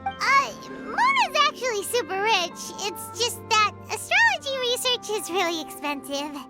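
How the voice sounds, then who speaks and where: Tiny bubbly fairy sidekick from a game, super high squeaky kid voice, swoops everywhere, loud music behind. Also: female voice, huge pitch swings, a little slow, heavily acted. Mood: chirpy. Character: small bubbly childlike fairy companion, female. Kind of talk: animated video game character acting.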